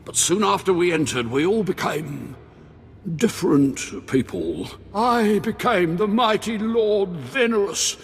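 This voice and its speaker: Husky, theatrical false Sith lord. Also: male voice, high voice, expressive, a little slow, heavily acted. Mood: bewildered. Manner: theatrical, faltering. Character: former slave posing as grandiose Sith lord, anxious. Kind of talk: video game cutscene acting. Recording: long hall reverb.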